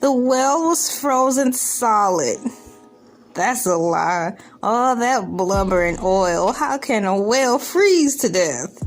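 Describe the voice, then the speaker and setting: A woman reading news aloud as a humorous online creator, dropping in sarcastic, joking asides with theatrical, drawn-out emphasis. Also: mid-range voice, expressive. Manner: sarcastic, joking asides between read lines. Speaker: online video creator reacting to a news article. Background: background music.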